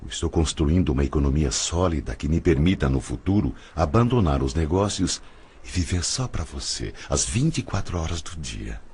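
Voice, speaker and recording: Man acting a very deep, husky older suitor. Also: a little mellow, exaggerated voice. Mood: devoted, passionate. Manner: romantic, persuasive, declamatory. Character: ardent older married man, smooth-talking suitor. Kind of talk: audiobook drama dialogue.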